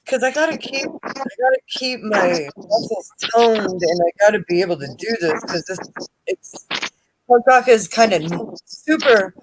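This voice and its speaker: A woman's voice: medium pitch, lively intonation, normal speed, background noise. A woman drummer chatting candidly on a video call, talking in long run-on stretches. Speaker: drummer in an online chat. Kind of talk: casual chat.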